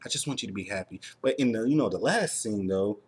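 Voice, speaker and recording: A man's voice: fairly low pitch, lively intonation, fast, slightly rough. A man hosting a casual review chat, rambling along and peppering his speech with filler words. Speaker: host of a TV review show.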